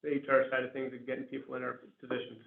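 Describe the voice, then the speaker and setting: Croaky man with a cold. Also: medium pitch, monotone, very fast. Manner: casual reporting with fillers. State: hoarse from a cold. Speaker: school district administrator. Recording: tinny, echoey room audio.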